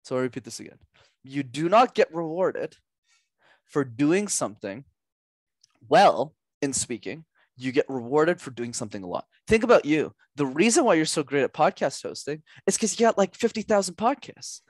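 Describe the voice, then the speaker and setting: Lively young man on a headset mic. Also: fairly high pitch, moderate pace, no echo. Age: young adult. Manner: animated, gestures a lot, drives points home with repetition. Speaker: podcast guest, communication coach. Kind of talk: energetic coaching talk. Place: small room at home.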